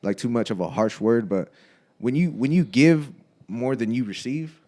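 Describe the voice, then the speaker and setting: A man's voice: mid-range voice, normal speed, warm tone. A man in his thirties co-hosting a podcast, chatting casually in a laid-back, slangy way, often saying bro and laughing. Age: 30s. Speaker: podcast co-host. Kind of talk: casual chat.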